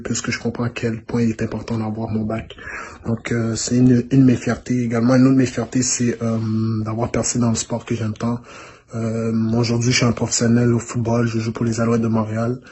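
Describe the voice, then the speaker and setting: Young man with a monotone voice. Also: mid-range voice, a little hiss or hum. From Rwanda, raised in Canada. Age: young adult. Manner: relaxed, many hesitations. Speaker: former student, pro football player. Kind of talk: casual testimonial to students.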